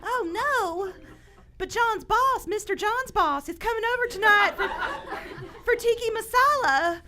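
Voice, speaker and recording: An actress playing a fretful, gullible housewife in a melodramatic parody of a 1940s radio commercial. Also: female voice, extremely high pitch, lively intonation, unhurried, background noise. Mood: panicked, tearful. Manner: exaggerated, melodramatic.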